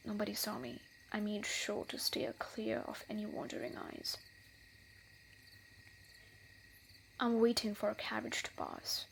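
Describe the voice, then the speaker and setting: A woman's voice: medium pitch, creaky. A woman playing a wily, menacing thief in a scripted fantasy audio roleplay, with a theatrical delivery. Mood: hushed, plotting.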